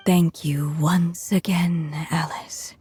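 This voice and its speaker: A woman playing a gentle, dying storyteller witch in a video game, speaking softly with solemn tenderness. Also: on the low side, steady tone, takes it slowly, husky, soft background music, full character voice. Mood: weary, fond. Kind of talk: video game character acting.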